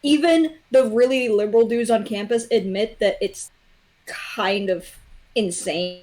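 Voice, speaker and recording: A young adult woman with a fairly high voice. She chats casually on a livestream. Her way of talking is blunt and peppered with swearing. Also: unhurried, a little mellow. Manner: blunt, profane. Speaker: guest on a development livestream. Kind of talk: casual chat.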